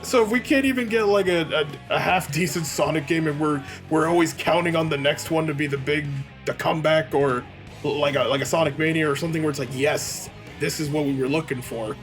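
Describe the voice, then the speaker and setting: A man's voice: fairly high pitch, brisk, faint music behind. A man co-hosting a let's play, talking casually and bluntly in a rambling conversational way. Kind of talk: casual gaming commentary chat.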